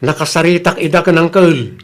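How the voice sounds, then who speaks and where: An actor playing a blunt, grumbling middle-aged husband and uncle in an Ilocano radio comedy-drama, with a Filipino accent. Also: male voice, a little high, fairly quick, close to an everyday voice. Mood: scolding.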